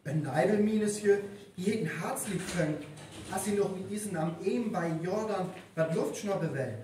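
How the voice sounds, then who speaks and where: A performer with a North Frisian accent playing a brisk village character in a live radio play. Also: medium pitch, mellow, natural voice. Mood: informing. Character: brisk village character passing on police news. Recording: very echoey live stage, distant, faint noise.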